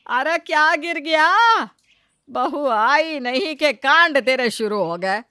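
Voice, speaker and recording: Woman doing a bossy sarcastic indian mother-in-law, bright cutting voice, kinda high, comic family drama. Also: animated, takes it slowly, no echo, clean studio sound, heavily acted. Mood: angry, accusing.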